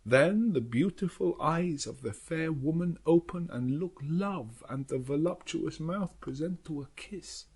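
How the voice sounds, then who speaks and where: A man voicing an elderly professor and vampire hunter in an audiobook, solemn and anguished, with slow, halting foreign phrasing. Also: fairly high pitch, darkish tone, slightly rough, pitched above the performer's own voice. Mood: brooding, haunted. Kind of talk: audiobook character narration.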